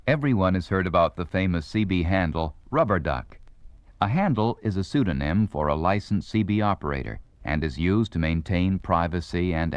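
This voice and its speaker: A man with a low voice narrates an instructional script, measured and explanatory. His pitch moves expressively, and the dry recording has the dulled top of an old record. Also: dry recording. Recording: dull vintage record sound.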